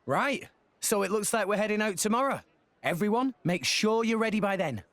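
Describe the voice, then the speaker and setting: A man's voice: high-pitched, animated, moderate pace, fairly bright tone, no echo. An actor playing a friendly, earnest teenage boy salvager in a video game cutscene. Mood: upbeat, casual.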